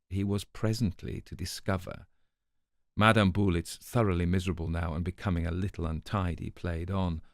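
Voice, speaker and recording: Guy with a low voice reading a crime story, measured and articulate, really dry sound. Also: a little mellow, dry recording. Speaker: narrator. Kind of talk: dramatised scripted narration of a crime story.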